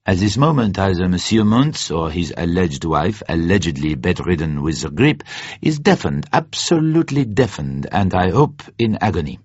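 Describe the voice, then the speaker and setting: A man reading an audiobook in character as a jovial, theatrical French secret agent, animated and conspiratorial, savouring his words. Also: low-pitched, normal speed, breathy edge, no room sound, dull audio, exaggerated voice. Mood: gleeful.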